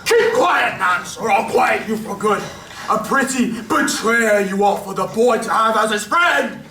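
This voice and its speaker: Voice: medium pitch, expressive, a little slow, sharp tone. An amateur performer playing a brutish, snarling robber in a radio play. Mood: threatening. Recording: echoey hall from far off, faint noise.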